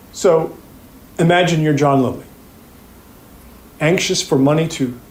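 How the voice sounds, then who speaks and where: An actor playing a methodical, pointed prosecutor delivering an opening statement to a jury in an audio drama, speaking deliberately with rhetorical pauses. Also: male voice, on the high side, a little mellow. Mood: grave, deliberate. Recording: roomy, echoing sound.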